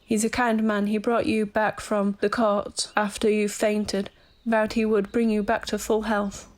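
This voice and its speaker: Reassuring household nurse played by a woman, even pitch, no-nonsense, audio drama. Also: unhurried, close to an everyday voice. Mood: soothing.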